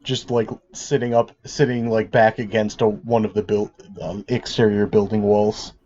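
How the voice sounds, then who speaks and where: A man playing a tabletop game, casually and haltingly describing his character's actions on slightly dull audio. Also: lowish voice, level tone, dark tone, a little hiss or hum.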